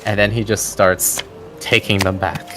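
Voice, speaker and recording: A man's voice: on the low side, flat delivery, measured pace, background noise. A man acting as game master, narrating scenes descriptively for a tabletop game. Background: background music.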